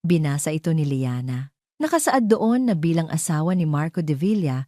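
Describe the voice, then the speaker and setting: Low-pitched Filipino woman narrating, mellow and dry. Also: no room sound. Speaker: audio drama narrator. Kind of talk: scripted dramatic story narration.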